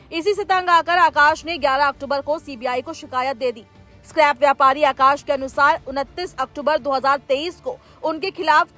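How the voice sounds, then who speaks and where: Female news narrator, high voice, scripted bulletin delivery, light music bed underneath. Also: pushed and bright. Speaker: news presenter. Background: background music.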